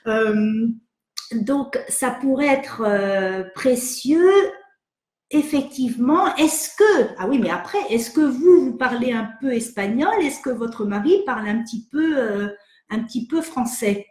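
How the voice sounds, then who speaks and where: A woman psychologist from France hosting an online session, answering viewer questions in a hesitant, gentle way with many fillers and restarts. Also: a little high, lively intonation, slow pace, echoey room. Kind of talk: live webinar, reading and answering viewer questions.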